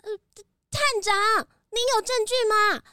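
A woman's voice: very high-pitched, fairly even intonation, unhurried, sharp tone, dry recording, clean studio sound, exaggerated voice. A woman voicing a small, curious cartoon animal child in a children's audio drama. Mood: puzzled.

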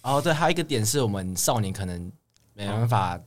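A man's voice: lowish voice, animated, a little fast. A young man, chatting casually as an idol guest. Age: young adult. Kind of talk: casual chat.

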